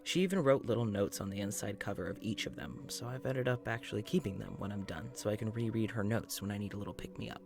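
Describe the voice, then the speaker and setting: A man's voice: fairly low pitch, quick pace, hardly any pauses, lightly acted. A man playing a weary, rambling doctor in an audio-drama journal, musing aloud in a hesitant, self-conscious way. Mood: fond, casual. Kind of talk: intimate audio-journal monologue in a fiction podcast.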